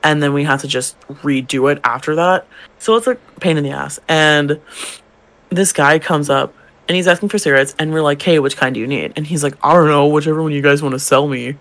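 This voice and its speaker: Young male drag queen podcaster, slightly high gravelly voice, chatty and animated. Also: a little fast. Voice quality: gravelly, feminine-leaning voice. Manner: animated, swearing, acts out customers' lines. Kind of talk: casual storytelling chat.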